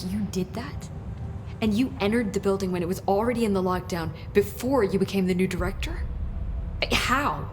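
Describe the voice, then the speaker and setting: A woman's voice: medium pitch, background noise, natural voice. A woman playing an earnest, nervously talkative research assistant in naturalistic video game dialogue. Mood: curious, amazed. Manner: halting, over-explaining.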